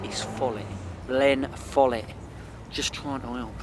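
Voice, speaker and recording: An actor playing a boastful, hapless London petty criminal in a comedy radio play. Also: male voice, medium pitch, steady tone, takes it slowly, background noise. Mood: defensive. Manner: cocky, bluffing. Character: boastful small-time crook, a hapless cockney husband and father.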